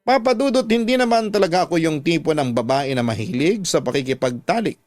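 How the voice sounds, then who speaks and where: A man's voice: fairly high pitch, lively intonation, a little fast, a little mellow, no room sound. A man with a Filipino accent reading a confessional love story as scripted dramatic radio narration. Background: background music.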